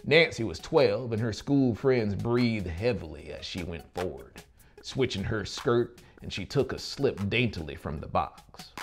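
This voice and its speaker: A man in his thirties narrating a gothic horror audiobook with deliberate, theatrical phrasing. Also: on the low side, expressive. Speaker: audiobook narrator and musical performer. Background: music under the voice.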